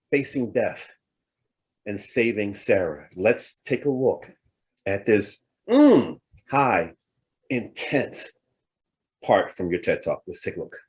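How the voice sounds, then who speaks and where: A man's voice: fairly low pitch, darkish tone. A man hosting an interview, introducing clips and reacting with frequent affirmations. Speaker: host. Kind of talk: casual interview talk.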